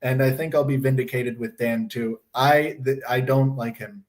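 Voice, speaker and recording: A man's voice: medium pitch, steady tone, moderate pace. A young man hosting a casual livestream. He talks bluntly, rambles, swears freely, and is losing his voice from a sore throat. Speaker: livestream host.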